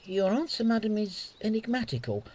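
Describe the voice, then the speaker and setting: An actor playing a witty, teasing soldier lord in love, in a Shakespeare radio play, with playful, expressive delivery. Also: male voice, sits high, fairly quick. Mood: awkward, earnest.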